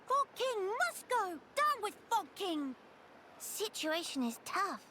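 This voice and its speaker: A woman voicing a small, excitable childlike video game creature that speaks feistily in broken sentences. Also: extremely high pitch, takes it slowly, a little hiss or hum, exaggerated voice. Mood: defiant. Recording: loud music behind the voice. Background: game music.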